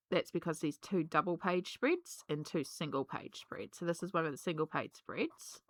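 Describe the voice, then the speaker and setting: A woman, slightly hoarse from a cold, chatting casually and rambling with many ums while she colours. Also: deep voice, sharp tone, no echo. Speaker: colouring vlogger.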